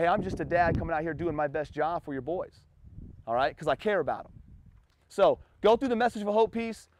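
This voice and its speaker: A man's voice: high voice, a little hiss or hum. An American man in his thirties giving an informal, earnest motivational talk as a youth baseball coach, speaking outdoors into a lapel mic.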